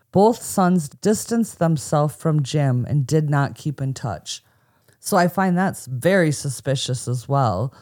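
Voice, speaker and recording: A woman's voice: low-pitched, darkish tone. An American woman co-hosting a podcast, telling a true crime story from a script with casual asides. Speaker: podcast co-host.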